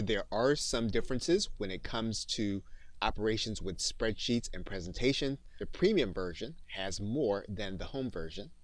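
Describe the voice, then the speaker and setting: A man with a fairly low voice narrates an instructional video. He explains in a measured, orderly way, as a course instructor would. The sound is dry, with very little room in it. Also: no echo. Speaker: instructor of an online software training course.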